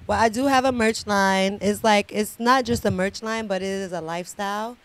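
A woman's voice: medium pitch, normal speed. A young woman guest chatting casually in a small music studio, holding a handheld mic and repeating words for emphasis. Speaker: guest artist. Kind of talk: casual interview chat.

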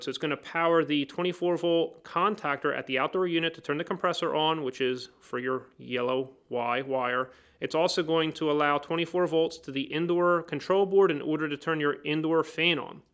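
A man with a somewhat raised, smooth and clear voice teaches new technicians at a workbench. He explains each step in plain words and links the steps with "so" and "now.". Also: on the high side, a bit bright. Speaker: HVAC trainer. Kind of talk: hands-on technical demonstration. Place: indoor workbench.

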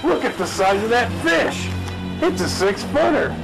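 A man playing a stubborn, educated city fish expert in a spoof radio drama, testy and quick to contradict. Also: very high voice, sing-song, vocal fry, music in the background, heavily acted, low-quality recording. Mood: amazed.

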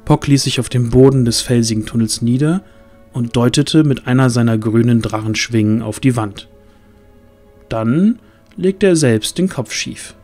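A man's voice: mid-range voice, moderate pace, darkish tone, soft background music. A man narrating a scripted fantasy audio drama in a dramatic storytelling style.

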